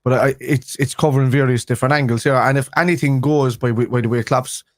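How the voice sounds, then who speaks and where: A man's voice: medium pitch, steady tone, fairly quick, no room sound, clean studio sound. A man with a Liverpool accent, co-hosting a football fan live stream, talking casually and rambling with restarts a day after having a tooth pulled. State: speaking after a tooth extraction.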